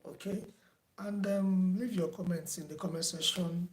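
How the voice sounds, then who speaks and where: A soft-spoken man closing an online driving theory class, talking unhurriedly with many fillers and repeats. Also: high-pitched, steady tone, moderate pace. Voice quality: soft-spoken, says he does not shout. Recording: echoey room with faint background ambience.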